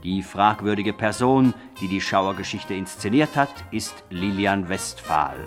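A man's voice: on the low side, animated, a little slow. A man reading credits as a scripted, tongue-in-cheek 1960s radio announcer in a mock-solemn manner. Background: music.

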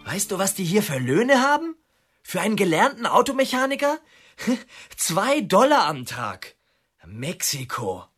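A mocking brash crook. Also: male voice, sits high, huge pitch swings, measured pace, a bit bright, husky, soft background music, full character voice. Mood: scornful. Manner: mocking, swaggering. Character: brash restless male criminal Dick, mocking. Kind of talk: radio drama acting.

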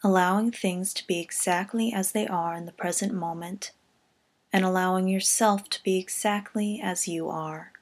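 A woman reading a scripted guided body scan meditation in a calm, gentle, measured way, softening at the ends of phrases. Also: on the low side.

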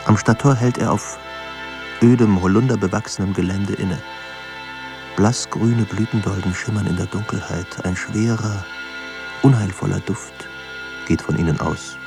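A man narrating a literary radio drama in a measured, solemn way, pausing between phrases. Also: lowish voice. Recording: studio narration over clear music. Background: music.

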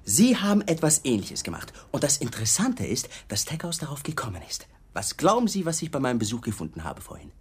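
A pushy male police detective in a radio crime drama. His voice is husky and swings widely up and down as he probes with mock politeness and sly insinuation. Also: medium pitch, wildly swooping pitch, quick pace, hardly any pauses, a little mellow, exaggerated voice. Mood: needling.

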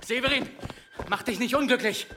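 An actor playing an anxious, sensible monk in a radio play, urgently pleading. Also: male voice, very high voice, normal speed, noisy background. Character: anxious, reasoning monk restraining a comrade. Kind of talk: German radio play acting.

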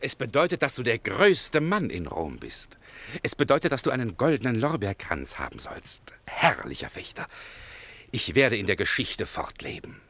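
A grandiose Roman emperor, with a bright, forceful voice that swoops dramatically from high to low. He is pompous and commanding, a radio play tyrant who turns easily from rage to flattery. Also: normal speed, gravelly, exaggerated voice. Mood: exultant. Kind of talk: German radio play acting.

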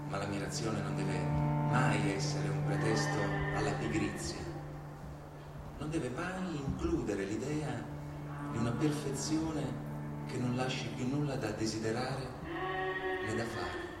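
A man giving a measured, declamatory theatrical reading as a stage performer. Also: medium pitch. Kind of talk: theatrical dramatic reading with music. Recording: very echoey distant hall recording with music. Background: loud musical instrument.

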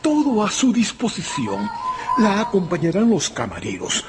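An actor playing an obsequious hotel manager in a radio drama, servile and ceremonious. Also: male voice, high-pitched, wildly swooping pitch, normal speed, music in the background. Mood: eager, servile.

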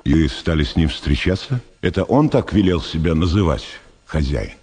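An actor playing a calm, persistent interrogator in a radio drama, with a measured, dry, probing delivery. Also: male voice, very deep voice, husky, exaggerated voice. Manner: measured, probing, wryly unruffled. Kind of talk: Soviet radio drama acting.

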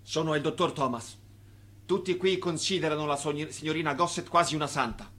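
Earnest, higher-voiced male doctor. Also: fairly quick, a bit bright. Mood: grave. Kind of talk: radio play acting.

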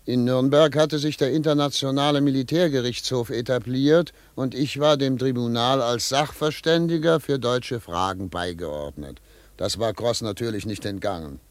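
An actor playing a brisk, self-assured middle-aged American lawyer who narrates and talks in a German radio play, with a theatrical, pondering manner. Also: male voice, medium pitch, no room sound, close to an everyday voice. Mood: measured narrating. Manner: theatrical, pondering aloud, repeating words for emphasis. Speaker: narrator and lead character.

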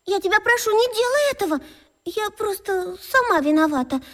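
Cast: a prim schoolgirl in a radio play, very high and whiny. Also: lively intonation, normal speed, fairly bright tone. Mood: pleading. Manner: pleading, whiny. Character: prim schoolgirl class monitor, pleading with her mother.

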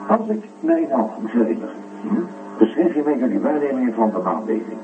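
Persistent psychiatrist, tinny and echoing. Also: male voice, mid-range voice, huge pitch swings, a little fast, over music. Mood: coaxing. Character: insistent male psychiatrist questioning a patient, patient but firm. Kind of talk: Dutch science fiction radio drama acting. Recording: roomy, distant, tinny phone-like radio recording.

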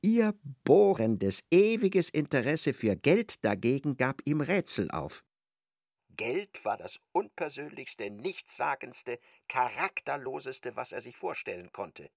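A man narrating a literary radio play in measured, expressive storytelling. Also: mid-range voice, creaky, no room sound, studio quality, full character voice.

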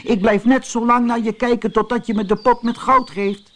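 A man voicing a bold, greedy village boy who talks in a forceful, taunting way in a children's fairy tale. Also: very high-pitched, steady tone, moderate pace, pitched above the performer's own voice. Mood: demanding.

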